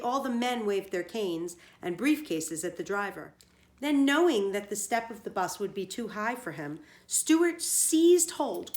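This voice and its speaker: A woman teacher reading a children's story aloud, pausing to explain words plainly for young listeners. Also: on the high side. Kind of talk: storybook read-aloud with simple asides.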